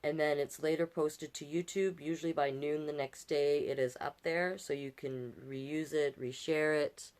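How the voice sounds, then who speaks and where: Low-voiced woman, folksy storytime host. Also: fairly even intonation, normal speed, few pauses, darkish tone. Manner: folksy, chatty, encouraging. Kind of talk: reading picture books aloud with casual asides to viewers.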